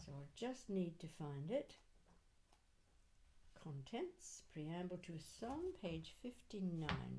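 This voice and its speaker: A mature woman performs poetry at a slow, deliberate pace. The delivery is expressive and lilting, drifting toward half-singing, with a conversational touch in between. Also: low voice, a little hiss or hum, low-quality recording. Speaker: poet reading their own work. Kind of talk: expressive live poetry recital with chatty introductions.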